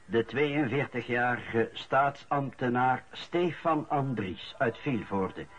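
A man's voice: mid-range voice, slow, a little hiss or hum, lightly acted. An actor playing a man reading the newspaper aloud in a radio drama. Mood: measured, matter-of-fact. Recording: dull old radio recording.

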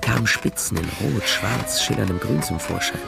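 An actor playing a wry, worldly private detective, narrating a radio crime drama with dry understatement. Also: male voice, on the low side, expressive, moderate pace, creaky, over loud music. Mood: narrating, restrained. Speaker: narrator and lead character.

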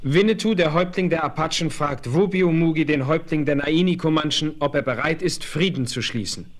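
A man's voice: fairly high pitch, brisk, lightly acted. A man playing a noble, commanding Apache chief who speaks formally and declaims in a German radio play. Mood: stern.

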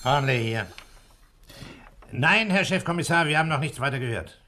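Bright, high-voiced inspector. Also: male voice, lively intonation, moderate pace. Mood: businesslike. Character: businesslike male police inspector on the telephone. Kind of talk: 1960s radio crime drama acting. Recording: faintly noisy archival radio recording.

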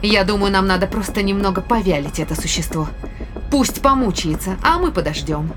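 An actress playing a cool, patient spaceship scientist with a cruel streak in a science fiction audio drama, speaking in a measured, businesslike way. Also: female voice, medium pitch, animated, natural voice. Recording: music behind the voice.

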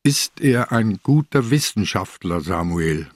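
An actor playing an ailing old police inspector in a German radio crime drama, calm, probing and relentless in a deliberate way. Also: male voice, lowish voice, dry recording, clean studio sound. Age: older.

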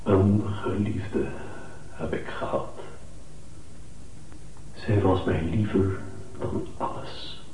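A man's voice: low-pitched, extremely slow, pauses often, mellow. An actor playing a mournful man reciting verse of lost love in a radio play. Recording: very echoey, distant, faintly noisy playback.

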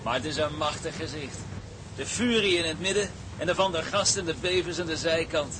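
A man's voice: a little high, fairly quick, background noise, close to an everyday voice. An actor playing a tugboat seaman, uneasy yet admiring, in a period seafaring drama.

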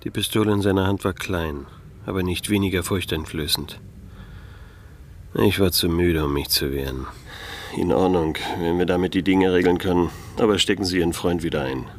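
A low-pitched, world-weary private eye from a radio play. He is dry and laconic, guarded and sceptical in every line. There is a faint noise behind the voice. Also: normal speed. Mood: brooding, reflective. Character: weary, wary male private detective, narrator and hero. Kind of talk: German radio play acting with first-person narration.

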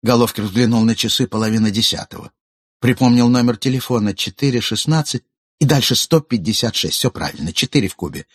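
A man reading literary prose aloud as an audiobook narrator, in a measured, ironic storytelling manner. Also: medium pitch, breathy edge, dry recording, clean studio sound.

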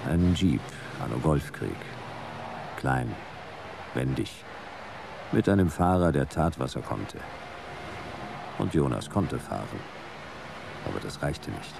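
An actor playing a laconic private detective who narrates a noir radio play in clipped, deadpan fragments, wry and weary. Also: male voice, very deep voice, slow, vocal fry, long pauses, background noise, full character voice. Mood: tense, wry. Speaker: narrator and lead character. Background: music and vehicle sound effects.

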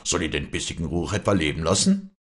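A man's voice: sits low, quick pace, close to an everyday voice. A man playing a brash, stubborn, commanding hunter with a Bavarian-Austrian Alpine accent, curt and challenging, in an audiobook. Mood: bitter threat. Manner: curt, challenging, gruff dialect. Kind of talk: dramatic audiobook character dialogue.

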